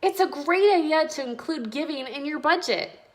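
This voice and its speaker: A woman's voice: sits high, animated, normal speed, voice pushed up. A woman voicing a kindly, encouraging uncle who patiently explains things to children in a storybook.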